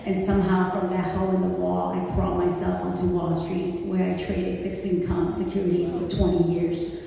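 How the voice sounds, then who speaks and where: A woman's voice: on the low side, normal speed, creaky. A woman in her forties who grew up in Hong Kong and speaks Cantonese natively, giving a chatty personal talk at a community event, with many fillers. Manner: warm, conversational, with frequent fillers. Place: community center hall. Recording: echoey hall, distant mic, faint noise.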